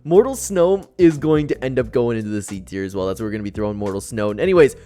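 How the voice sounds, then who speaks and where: A man's voice: mid-range voice, fast talker. A man hosting a gaming tier-list video, chatting casually and animatedly as he ranks people, with music behind him. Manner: animated, chatty, acts out imagined voicemails. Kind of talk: casual ranking commentary with impressions. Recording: background music under the voice.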